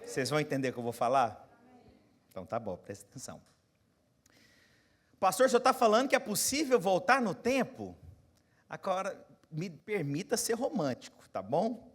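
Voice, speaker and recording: A Brazilian pastor in his forties preaching a sermon, moving from quiet, close asides to loud, rising exhortation and putting questions straight to his congregation. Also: fairly high pitch, huge pitch swings, normal speed, long pauses. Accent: Brazilian Portuguese. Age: 40s. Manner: talks straight to the congregation, asking them questions.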